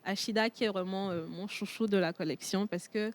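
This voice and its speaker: A woman's voice: medium pitch, steady tone, fairly quick. Woman, from Togo, first language Tchokosi, TV studio guest, hesitant, fillers and restarts. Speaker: perfume entrepreneur guest. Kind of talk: studio interview.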